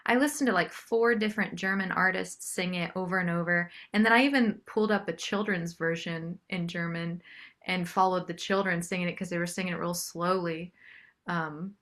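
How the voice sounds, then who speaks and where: A woman's voice: on the low side, steady tone, normal speed, fairly bright tone. A middle-aged American woman chatting casually as a singer-songwriter being interviewed.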